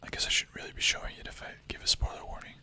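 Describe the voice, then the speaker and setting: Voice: very fast, bright, forceful tone. A young adult streamer whispering ASMR-style, chatting casually with chat, full of filler words and the odd swear.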